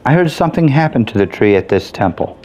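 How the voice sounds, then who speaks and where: A man's voice: medium pitch, big pitch swings, fast talker, a little mellow, muffled recording. A man giving casual commentary as he plays and reviews a video game. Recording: faint background noise. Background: game ambience.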